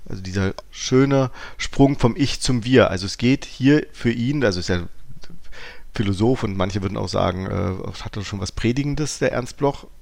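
Gravelly, lowish male podcast host. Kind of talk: reflective conversational philosophy talk.

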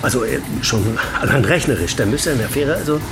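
Actor as blunt middle-aged buddy, mocking, emphatic, very animated pitch, radio drama, music underneath. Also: male voice, fairly quick, natural voice. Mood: exasperated, interrogating. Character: teasing, incredulous older male best friend, blunt. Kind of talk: naturalistic radio play banter.

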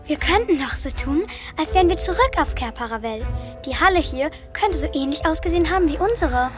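Someone acting an eager curious little sister, really high voice, kids audio play w/ music behind. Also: animated, brisk. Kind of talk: children's audio drama acting.

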